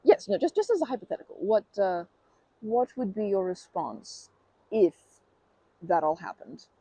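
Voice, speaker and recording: A performer plays a nervous stranger shyly flirting in an ASMR roleplay. The voice is mid-pitched, soft-edged and mellow with a creaky grain, recorded close and dry. The speech is polite, ornate and full of hesitant, apologetic detours. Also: very animated, normal speed, dry recording, rough recording.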